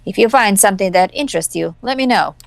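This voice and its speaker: A woman's voice: medium pitch, lively intonation, fast talker, gravelly, no echo. A woman playing a sardonic young woman hacker in an amateur game reading, wry, flippant and casual. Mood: focused, flippant. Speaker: voice actor in a hobby visual novel performance.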